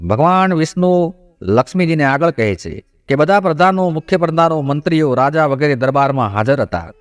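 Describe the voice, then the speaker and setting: A man's voice: a little high, animated, fairly quick, dry recording. A man narrating a scripted devotional moral folk tale as a storyteller.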